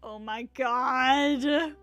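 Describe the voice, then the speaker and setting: Girl streaming a game, highish voice, chatty and jokey, game music going behind her. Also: drawn-out words, fairly bright tone. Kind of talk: casual live gameplay commentary. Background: video game music.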